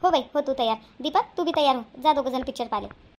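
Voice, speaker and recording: Woman voicing a high, nagging Vidarbha mother. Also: quick pace, a little mellow. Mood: homely, coaxing. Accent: Vidarbha Marathi. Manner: scolding, repetitive, homely. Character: bossy, nagging village mother of a grown son. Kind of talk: homemade cartoon voice acting.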